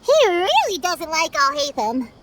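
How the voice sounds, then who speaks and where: A woman voicing a tiny, chatty, curious childlike girl companion in a video game, with a squeaky voice. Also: huge pitch swings, a little slow, background noise, heavily acted. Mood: chatty, concerned.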